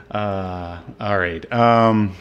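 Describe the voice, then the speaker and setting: Low voiced podcast host guy, soft spoken, trails off with lots of ums. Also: smooth, lo-fi sound. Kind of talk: casual chat reviewing a movie.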